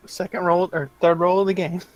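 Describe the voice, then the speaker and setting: A man's voice: sits high, level tone, fairly quick, dry recording, highs cut off, soft background music. A man talking and roleplaying casually as a player in a tabletop game.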